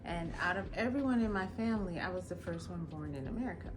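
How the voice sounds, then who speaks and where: A young adult woman, a lawyer from Los Angeles whose first language was Jamaican Patois, tells her own story in a casual, expressive way and acts out the lines of people she quotes. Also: lowish voice, fast talker, background noise. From Los Angeles, California. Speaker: attorney. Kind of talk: personal storytelling.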